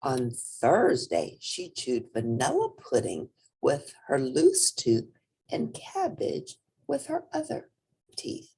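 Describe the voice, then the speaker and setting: A woman's voice: deep voice, huge pitch swings, slow pace, creaky, mellow, slightly rough. A woman reading a children's storybook aloud expressively, speaking directly to young listeners. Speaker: storybook reader.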